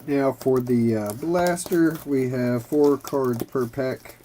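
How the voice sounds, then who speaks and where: A man's voice: mid-range voice, mellow, a little hiss or hum. A man hosting a trading-card opening video, still a bit congested from bronchitis, casually reading out player names as he opens packs. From Kentucky. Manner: unhurried, reads player names as cards flip. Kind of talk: casual card-ripping commentary.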